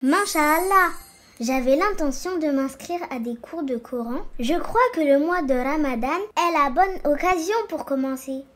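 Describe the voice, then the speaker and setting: A performer voicing a sweet, pious little girl eager to learn in an educational cartoon, polite and measured. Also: high-pitched, fairly bright tone. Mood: sweet, sincere. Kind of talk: scripted educational cartoon dialogue.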